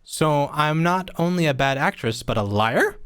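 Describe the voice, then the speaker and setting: A woman's voice: sits low, expressive, moderate pace, noisy background. A woman playing a feisty, sarcastic young film actress in a screwball radio play, with a sardonic, combative manner. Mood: indignant.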